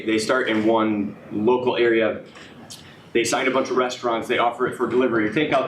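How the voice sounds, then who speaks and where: A slightly hoarse man pitching his startup to an audience. He hesitates a lot, with many fillers and restarts. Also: a little hiss or hum. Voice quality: raspy. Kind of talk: business pitch presentation. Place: event room with audience. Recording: echoey room, speaker far from mic.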